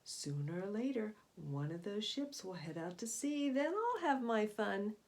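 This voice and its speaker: A huge but harmless male sea serpent from a children's storybook, acted out by a woman reader. He speaks earnestly, with a grumbling edge and a lot of swoop in the pitch. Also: sing-song, unhurried, darkish tone. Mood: scheming. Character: giant gentle sea serpent, male, bored, indignant when teased.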